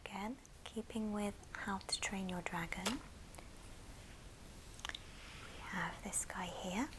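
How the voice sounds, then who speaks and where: A woman whispering softly and breathily in a gentle, affectionate ASMR show and tell. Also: medium pitch, steady tone, slow, background noise. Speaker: ASMR video host.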